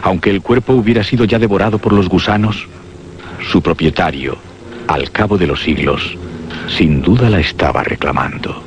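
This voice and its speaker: A man acting as the grave, deliberate narrator of a suspense and horror radio drama. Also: deep voice. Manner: solemn, deliberate, theatrical. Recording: dull, noisy old radio drama recording.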